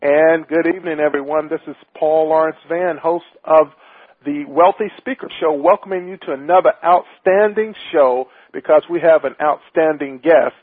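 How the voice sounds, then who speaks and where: A man's voice: a little high, moderate pace, slightly rough, dry recording, soft background music. A man hosting an internet talk radio show, upbeat and emphatic, often repeating himself. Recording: tinny dry phone-line audio.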